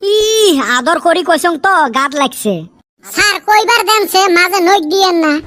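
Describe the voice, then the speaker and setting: A woman's voice: extremely high pitch, expressive, moderate pace, noisy background. A woman voicing cheeky, scheming village schoolboys in a comedy cartoon, arguing and wheedling with mock innocence in a Rajbongshi accent. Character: cheeky, scheming village schoolboy pupils, high-pitched and childlike. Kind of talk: Bengali comedy cartoon dubbing.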